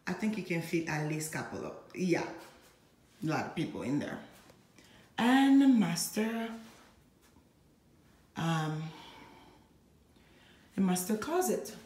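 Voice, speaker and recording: A woman giving a casual, chatty home tour in a hushed voice, gushing with admiration as she walks through indoor rooms with a handheld camera. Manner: hushed, keeping voice low. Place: indoors, walking through a furnished model home. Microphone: handheld phone or camera held by the speaker.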